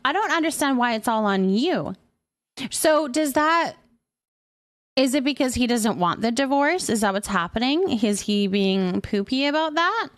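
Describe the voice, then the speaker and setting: A woman with a fairly high voice talks casually as a live streamer. She is expressive and informal, responding to her viewers in a sympathetic, conversational way. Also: measured pace. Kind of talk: casual chat with live stream viewers, reading and answering comments.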